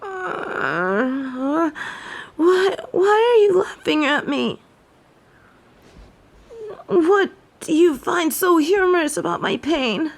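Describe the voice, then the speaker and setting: A woman playing a haughty, imperious yet softening fallen angel in a scripted audio roleplay, speaking in formal, theatrical phrases. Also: high voice, gravelly, full character voice. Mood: flustered, tearful.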